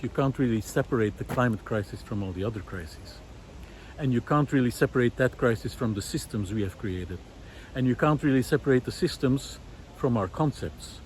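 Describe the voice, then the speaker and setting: A man's voice: medium pitch, slight background noise, rough recording. A man giving an unscripted, reflective monologue, thoughtful and hesitant as he searches for words. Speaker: visual artist turned ecology project host.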